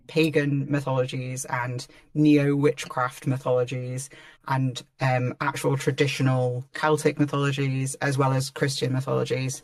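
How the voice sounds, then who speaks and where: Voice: medium pitch, darkish tone, no room sound. Rambling audio drama creator, dry close sound. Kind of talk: casual panel chat.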